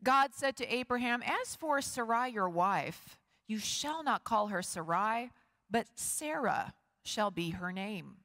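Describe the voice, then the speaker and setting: A middle-aged woman pastor reading scripture and preaching, with a measured, expressive delivery and slow emphatic pauses. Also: fairly high pitch. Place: large wood-paneled church sanctuary. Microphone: lectern and stand microphone, far camera. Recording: long church hall reverb.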